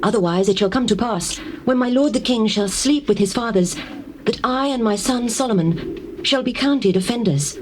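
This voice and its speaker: A woman's voice: on the high side, moderate pace, noisy background, close to an everyday voice. An actress playing an earnest, deferential queen in a dramatized scripture reading, with formal, declamatory delivery. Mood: pleading, reverent. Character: earnest, deferential queen pleading for her son.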